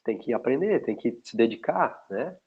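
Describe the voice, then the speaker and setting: A man's voice: mid-range voice, lively intonation, moderate pace, breathy edge. A man from São Paulo with a São Paulo accent, talking as a guest in a casual online interview, rambling and restarting often. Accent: São Paulo Portuguese. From São Paulo, Brazil. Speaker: guest, logistics specialist. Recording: muffled lo-fi sound.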